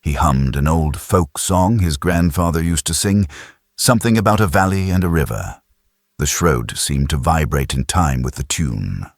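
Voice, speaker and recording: Male audiobook narrator, extremely low pitch, dramatic scripted sci-fi reading, dry studio-like closeness. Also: lively intonation, normal speed, gravelly, no echo.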